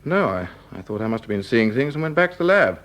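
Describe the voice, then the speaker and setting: Polite earnest scientist in a radio play, mid pitch voice, explains carefully. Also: male voice, very animated, fast talker, fairly bright tone. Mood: uneasy, confiding. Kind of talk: radio drama acting.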